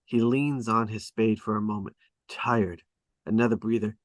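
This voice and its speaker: A man with a fairly low voice narrates screenplay directions in short, clipped, dramatic phrases. The sound is dry, with little room around it. He reads as a storyteller setting out each piece of action. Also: steady tone, normal speed, dry recording. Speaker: script narrator at a table read. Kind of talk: scripted narration, reading stage directions.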